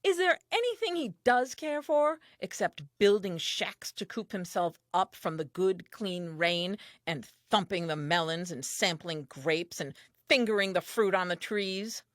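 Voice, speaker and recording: Woman as dreamy first woman, curious, earnest, very animated intonation, theatrical audio play monologue, dry close recording. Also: mid-range voice, measured pace, no room sound, natural voice. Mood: mildly reproachful.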